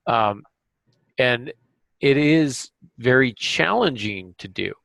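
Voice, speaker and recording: A man hosting a podcast, chatting casually and thinking aloud in a halting way. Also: medium pitch, animated, creaky, a bit bright, no echo. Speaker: podcast host.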